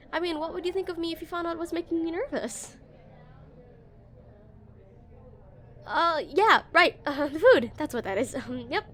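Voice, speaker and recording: A high-pitched female voice , acted by a woman, plays an awkward game developer smitten with their date. The delivery is nervous and hesitant, full of stammers, in an intimate romantic audio roleplay addressed to the listener. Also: fairly bright tone. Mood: evasive, nervous.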